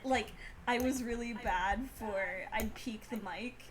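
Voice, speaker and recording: High-voiced young woman on an echoey call. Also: takes it slowly. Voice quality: high-pitched. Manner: flustered, apologetic, giggly. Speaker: guest streamer. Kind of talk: casual chat. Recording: echoey voice-call mic with chatter behind.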